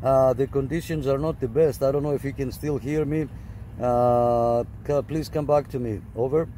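A man's voice: mid-range voice, steady tone, normal speed, mellow, slight background noise. A man who runs a radio channel, talking on CB radio from a parked car and then outdoors, using radio lingo, repeating himself and adding many fillers. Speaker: CB radio operator and vlogger. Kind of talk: casual radio chat and on-camera walkthrough.

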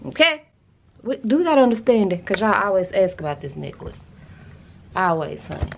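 An American woman in her thirties chatting casually to camera in a sassy, slangy, animated way, as a vlogger showing a haul. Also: mid-range voice, fairly quick, gravelly, slight background noise. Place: furnished room at home, indoors.

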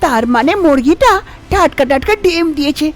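Cartoon young daughter-in-law , played by a woman: greedy, sly, high and lively, scheming to herself. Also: moderate pace, soft background music. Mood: excited. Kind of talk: Bengali cartoon fairy-tale voice acting.

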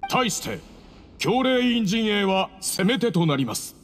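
High-voiced, solemn temple officiant. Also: male voice, lively intonation, fairly bright tone, full character voice. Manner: formal, measured, proclaiming. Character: solemn ceremonial officiant of a desert temple duel. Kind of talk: video game voice acting. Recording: long hall reverb, music behind.

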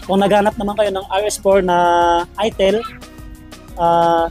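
Male vlogger, middle-aged, high voice, upbeat and chatty, casual market-tour delivery over music. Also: steady tone, a little slow, darkish tone. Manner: upbeat, chatty, addresses viewers as friends. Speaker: vlogger, host. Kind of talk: casual shopping vlog narration. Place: busy public market and gadget stalls.

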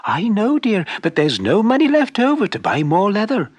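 A man's voice: high voice, wildly swooping pitch, moderate pace, husky, dry recording, pitched above the performer's own voice, exaggerated voice. A man voicing a shoemaker's wife, a caring, anxious middle-aged woman, in a storybook reading.

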